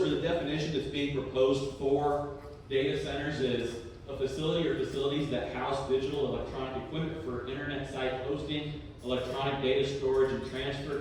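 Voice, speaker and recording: A Texan man presents to a public meeting as city planning director, easygoing and conversational, often pausing on "um". His voice sits at an ordinary middle pitch and comes across from a distant mic. A long reverb rolls through the big chamber, with a faint hiss underneath. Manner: conversational, plain-spoken with frequent ums. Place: large echoey council chamber. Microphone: room microphone heard from a distance. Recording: echoey hall from far off.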